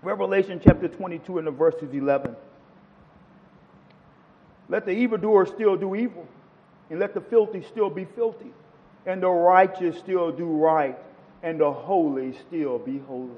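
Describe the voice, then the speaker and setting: A man's voice: high-pitched, normal speed, long pauses, long reverb tail, dull audio. A man preaching a sermon to a congregation, quoting scripture with rising and falling emphasis. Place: church.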